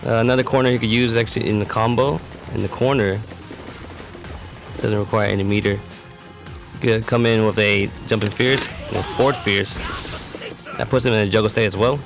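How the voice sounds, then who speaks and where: A man's voice: lowish voice, steady tone, a little fast, gravelly, pauses often. A man giving matter-of-fact, instructional commentary for a fighting game tutorial. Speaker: competitive gamer presenting a character demo. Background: music.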